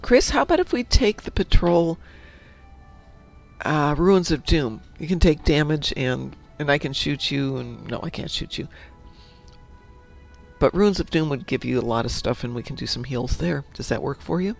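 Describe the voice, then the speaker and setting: A man's voice: fairly high pitch, normal speed, creaky, faint music behind. A man from Chicago hosting a livestream, chatting casually while playing a game, a little stuffy from a cold. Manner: chatty, animated, reads viewer comments aloud. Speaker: stream host.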